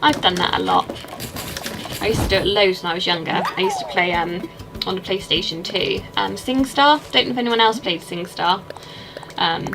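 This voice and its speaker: A woman's voice: mid-range voice, big pitch swings, moderate pace, creaky, music in the background, poor audio. A young woman with an English accent, chatting casually and rambling while she plays a game and answers her chat. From England. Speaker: streamer.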